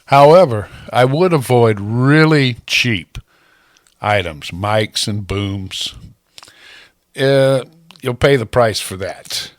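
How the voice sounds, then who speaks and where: An American man presents a how-to narration in an ordinary mid-range male voice. His delivery is plainspoken and folksy, with deliberate phrasing. He is close to a broadcast-style microphone, and the sound is dry with very little room. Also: medium pitch, lively intonation, no room sound. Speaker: amateur radio hobbyist presenter. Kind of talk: instructional slideshow narration.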